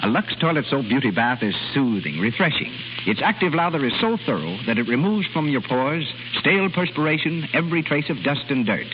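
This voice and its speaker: A man's voice: mid-range voice, big pitch swings, a little fast, hardly any pauses. Lilting American male radio announcer. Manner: polished, persuasive sales delivery. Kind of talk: 1930s radio advertising announcer. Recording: muffled, noisy 1930s radio recording.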